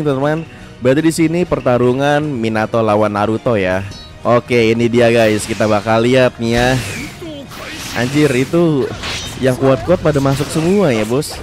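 A young man with a stuffy, blocked nose giving excitable, slangy play-by-play of a fighting game and talking straight to his viewers. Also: medium pitch. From Indonesia. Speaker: gaming commentator. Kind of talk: casual gameplay commentary. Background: game music.

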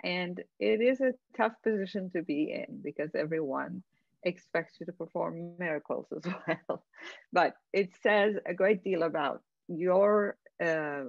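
Older woman moderator, gravelly low-fi voice, dry sound, video call. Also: no room sound, phone-quality sound. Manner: gracious, summarizing and affirming. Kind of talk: moderating an academic panel.

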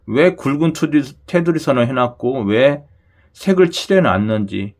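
A man's voice: medium pitch, expressive, unhurried, darkish tone. Chatty man with a regional dialect. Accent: Korean regional dialect. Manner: chatty, repeats "그죠?" to the audience. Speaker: lottery channel host. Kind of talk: casual talk to viewers.

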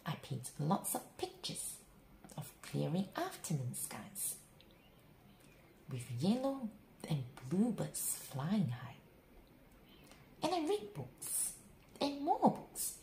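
A woman reading a children's bedtime story aloud, with expressive, dramatic phrasing and drawn-out words. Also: lowish voice. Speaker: picture book reader. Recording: roomy echo, faint background noise.

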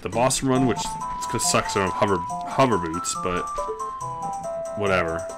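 A man with a very low, mumbling voice, casually thinking aloud while he streams a game from his room. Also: moderate pace. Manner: thinking aloud, relaxed and rambling. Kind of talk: casual gameplay commentary. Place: home room at a desk. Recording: game music playing clearly beneath. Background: game music.

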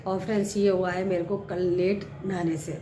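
A middle-aged woman with a hoarse, cold-roughened voice chats casually to her viewers and keeps calling them friends. She is recorded outdoors on a rooftop terrace on a phone held at arm's length. A faint hiss of background noise sits under her voice. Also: lowish voice, little pitch movement, normal speed. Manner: chatty, repeats "friends" often. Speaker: gardening channel host. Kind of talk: casual vlog talk to viewers.

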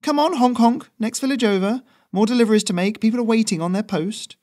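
A man's voice: high-pitched, brisk, darkish tone, pitched above the performer's own voice, lightly acted. A man voicing a breezy, cocky postman who rides a goose, talking in a chummy, teasing, upbeat way.

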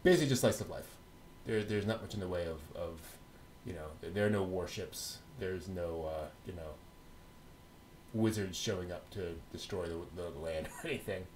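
A man's voice: low-pitched, moderate pace, a little mellow, a little hiss or hum, low-quality recording. A man co-hosting a casual news chat, talking in hesitant, stop-and-start phrases. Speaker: co-host of an anime news show. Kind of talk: casual chatty news rundown.

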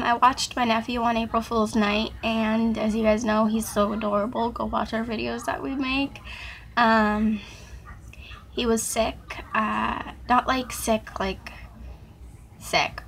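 A teenage girl vlogging at her webcam, hoarse and gravelly from a sore throat, chatting in a rambling, apologetic way full of ums. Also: on the high side, fairly bright tone, slight background noise. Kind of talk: casual chat to camera. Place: small dark bedroom. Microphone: webcam at arm's length.

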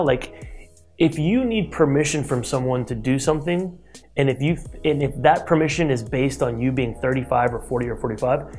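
A man in his thirties hosts an interview from a glass-walled office, speaking at a middle pitch. He sounds energetic and motivational, talking into a lapel mic. Faint music plays underneath. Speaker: host.

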